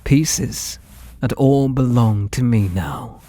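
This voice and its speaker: A man with a British accent playing an obsessive, possessive painter in an intimate ASMR roleplay, breathy and doting with an undertone of menace. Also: mid-range voice. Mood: intense devotion. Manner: slow, breathy, doting, menacing murmur with soft whispers. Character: obsessive, possessive male painter doting on his captive muse.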